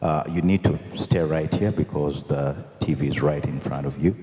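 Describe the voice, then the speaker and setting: A man hosting a live event, introducing a guest in a halting way with many fillers. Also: very deep voice, very noisy. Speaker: event host. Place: convention hall. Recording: echoey hall reverb.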